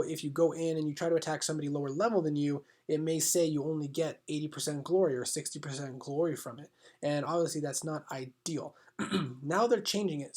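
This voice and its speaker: A man with a stuffy, congested voice talks through game mechanics for his channel. His voice sits a bit high for a man. He hesitates often and keeps clearing his throat. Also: a little mellow. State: congested, slightly sick. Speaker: gaming channel host. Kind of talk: casual explanatory game commentary.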